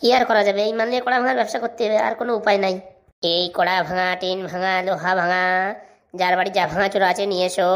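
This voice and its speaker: A hapless young man from a comic cartoon , acted out by a man, grumbling. He talks very fast in a colloquial way, like a street vendor always short of luck. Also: high-pitched, fairly bright tone. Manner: complaining, colloquial, drawn-out sales calls. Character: hapless, grumbling young bachelor turned scrap hawker. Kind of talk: comic cartoon dialogue and street-hawker calls.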